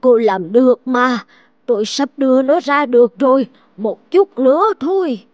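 A woman voicing a kindly, anxious old village midwife in an animated fairy tale, with an earnest, drawn-out delivery. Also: sits high, highs cut off, a little hiss or hum. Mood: urging. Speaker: voice actor.

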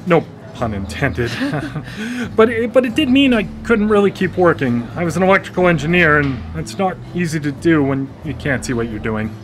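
A man's voice: a little high, animated, moderate pace, background noise, close to an everyday voice. A man playing a stammering newly blind man. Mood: awkward, wry. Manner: hesitant and stammering, wry humor. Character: newly blind man, awkward, self-deprecating. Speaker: lead character in a radio drama. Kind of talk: live radio drama acting. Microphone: studio microphone.

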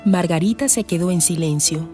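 Female radio drama narrator, lower register, scripted delivery over music. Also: moderate pace, mellow. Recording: clear music behind the voice.

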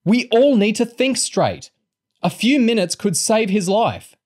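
Man voicing a bossy, urgent girl, raised high. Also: sing-song, measured pace, full character voice. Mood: urgent shouting. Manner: sharp, commanding shouting. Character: bossy, urgent young girl taking charge.

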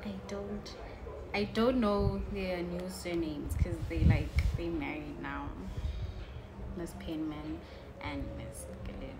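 Young South African woman vlogger, low-ish voice, unhurried, chatty and easily sidetracked, phone mic with room noise. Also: fairly even intonation. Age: 20s. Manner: chatty, easily distracted, joking asides. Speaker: YouTuber. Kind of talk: casual chat to camera. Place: living room at home, indoors.

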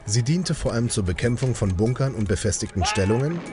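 A man's voice: mid-range voice, expressive, brisk, over music. A man reading scripted informational narration in the manner of a documentary.

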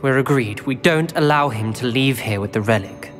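A man's voice: mid-range voice, moderate pace, close to an everyday voice. A man playing a wary, principled young wizard. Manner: earnest, clipped, pleading. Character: principled teenage wizard boy, wary and stubborn. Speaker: game character. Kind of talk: video game cutscene acting. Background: music.

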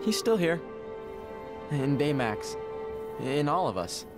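Teenage boy prodigy, earnest, thoughtful, lightly high pitch, video game cutscene, music behind. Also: very animated, slow pace. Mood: wistful.

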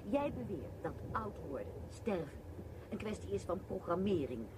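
A woman's voice: medium pitch, very animated, normal speed, close to an everyday voice. An actress playing an argumentative, theatrical woman in a radio drama, talking in an emphatic, mock-pompous way. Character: argumentative, theatrical woman debating culture with an older colleague. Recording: clear background noise.

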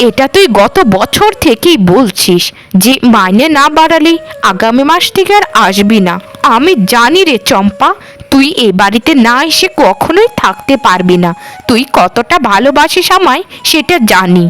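A woman's voice: fairly high pitch, sing-song, normal speed, a bit bright. A woman voicing a bossy, stubborn mistress of the house in a cartoon, dismissive and unyielding. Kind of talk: Bengali cartoon dialogue.

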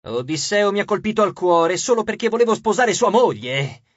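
A man's voice: high-pitched, lively intonation, normal speed, no echo, dull audio, pitched above the performer's own voice. A man voicing a whining, cowardly male ghost in rags. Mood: whiny. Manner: whiny, self-pitying.

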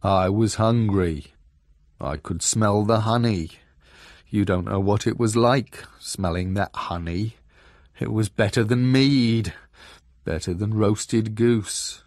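A man voicing a gloomy, pompous bear with a heavy, rumbling voice in a storybook reading. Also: slow, darkish tone, no room sound, studio quality. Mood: plaintive, defensive. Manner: ponderous, blustering. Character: grumbling, gloomy, pompous bear, a thunder god in disguise.

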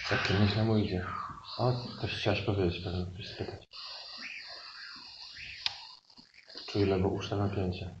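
A man's voice: deep voice, flat delivery, a little fast, close to an everyday voice. A man playing a cautious, matter-of-fact country boy with colloquial speech in an improvised audio play. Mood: puzzled. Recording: roomy, dull, noisy live stage recording.

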